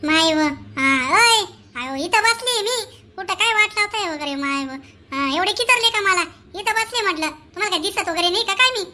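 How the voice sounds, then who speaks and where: Lady doing squeaky cartoon village mom, khandeshi marathi accent, naggy n exclaiming, super high n bright. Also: animated, normal speed, exaggerated voice. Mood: indignant, scolding. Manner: nagging, exclamatory, rural colloquial. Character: indignant, scolding village mother, middle-aged, easily rattled. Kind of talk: comic cartoon voice acting.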